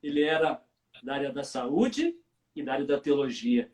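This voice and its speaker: A male pastor hosting a live online interview, enthusiastic and quick to chime in. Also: medium pitch. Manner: enthusiastic and lively, interjects often. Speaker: pastor host. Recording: roomy sound, distant from mic.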